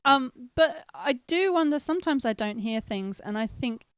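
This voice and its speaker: A woman's voice: high voice, expressive, normal speed, clean tone, dry recording, studio quality. A woman co-hosting a casual livestream chat, telling anecdotes, laughing often and quoting the people in them. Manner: chatty, laughs often, tells anecdotes with quoted dialogue. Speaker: co-host.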